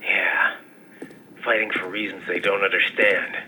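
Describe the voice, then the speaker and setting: A man playing a weary, brooding cyborg warrior in a video game, terse and grim, with a gravelly voice. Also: deep voice, little pitch movement, a little slow, background noise.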